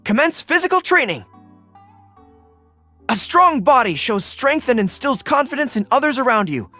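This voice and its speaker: A man voicing an earnest, dutiful young dog-eared general in a video game, with crisp military diction. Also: very high voice, sing-song, moderate pace, pushed and bright, no room sound, faint music behind, full character voice. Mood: commanding. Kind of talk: video game character voice acting.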